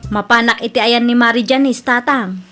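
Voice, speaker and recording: Woman acting an eager, high-voiced bargaining housewife. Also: fairly even intonation, moderate pace, over loud music, close to an everyday voice. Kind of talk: Ilocano radio comedy drama acting.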